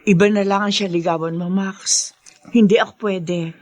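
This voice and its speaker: A woman's voice: mid-range voice, fairly even intonation, normal speed, a little mellow, lightly acted. A woman playing a hardworking, firm and devoted middle-aged mother in a radio drama.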